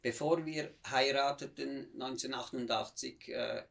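Swiss German man, 50s, gravelly missionary guest; measured, reflective storyteller. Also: medium pitch, fairly even intonation, a bit bright. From Switzerland, near Bern. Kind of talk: conversational interview.

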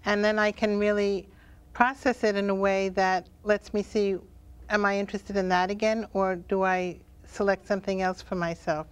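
A woman with a clear, smooth voice and a middle pitch. She talks casually as a guest author on a talk show, thinking aloud deliberately and stretching out her phrases. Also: flat delivery.

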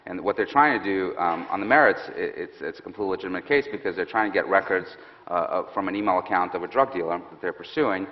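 A man in his thirties, a security expert on a stage panel, explaining in a quick, assured way. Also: low voice. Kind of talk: panel interview answer. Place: stage set with audience, indoors. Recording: muffled hall with long reverb.

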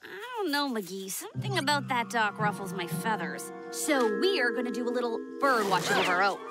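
A woman's voice: a little high, animated, a little fast, close to an everyday voice. A woman voicing a sardonic, suspicious girl camper. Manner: sardonic, wary. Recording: cartoon dialogue over loud music.

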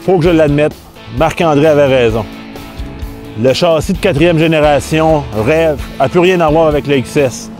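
A middle-aged man with a Québécois French accent hosts a snowmobile test drive. He talks with easy enthusiasm in a somewhat high voice and stumbles into quick restarts now and then. Faint music runs underneath. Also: darkish tone. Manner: enthusiastic, chatty, with small restarts. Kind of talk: casual review and interview.

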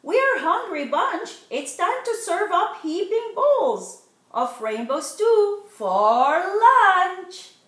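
A woman's voice: very high voice, big pitch swings, slow, fairly bright tone. A middle-aged woman reading a children's picture book aloud, stretching out rhyming words expressively. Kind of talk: animated children's read-aloud. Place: living room at home, indoors. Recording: roomy home recording.

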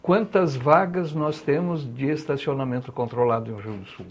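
A man, a guest on a studio talk show, explaining things in a slow, deliberate way. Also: mid-range voice, slight background noise. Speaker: guest, charity president. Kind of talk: interview answers. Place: TV studio set, indoors.

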